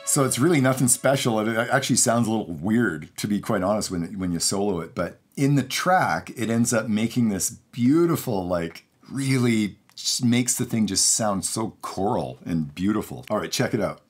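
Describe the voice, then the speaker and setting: A man's voice: fairly low pitch, lively intonation, moderate pace, hardly any pauses, soft background music. A man in his forties giving a casual, enthusiastic studio tutorial to camera as a mixing engineer. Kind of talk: casual instructional talk to camera. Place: home recording studio, indoors.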